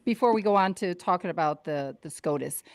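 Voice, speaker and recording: A woman puts questions to a panel in a conversational way. Her voice sits a little low and is recorded dry, with little room sound. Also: animated, brisk, no echo. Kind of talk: conversational interviewing.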